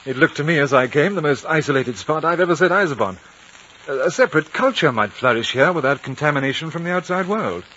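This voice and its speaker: An actor playing a refined, thoughtful British gentleman adventurer, measured and polite, in a 1950s radio drama. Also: on the high side, lively intonation. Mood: polite, wry. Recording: dull, noisy 1950s radio recording.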